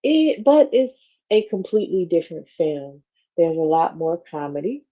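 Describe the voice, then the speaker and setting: A woman's voice: lowish voice, big pitch swings, moderate pace. A woman co-hosting a show, reading a magazine interview aloud and slipping into informal asides. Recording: dull, lo-fi sound.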